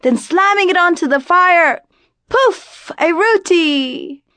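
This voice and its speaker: A woman with an American accent tells a folk tale as an expressive storyteller, in a deliberate, dramatic manner with phrases drawn out. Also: very high voice, no echo. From grew up in Virginia, United States.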